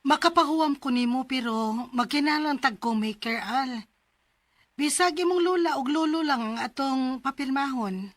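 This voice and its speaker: Actress as high-voiced Cebuano lady teacher. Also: moderate pace, natural voice. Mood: firm, conceding. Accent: Cebuano Filipino. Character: businesslike female teacher lending money, firm. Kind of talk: radio drama acting.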